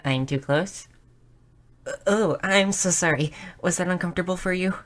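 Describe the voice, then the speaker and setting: Casting: a woman as a bubbly, bookish goblin girl for audio roleplay, low-pitched, inquisitive, with a slight nervous stammer. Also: moderate pace, natural voice. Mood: excited, flustered. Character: shy, bubbly, bookish young goblin girl, eager and curious. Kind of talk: scripted audio roleplay addressed to the listener.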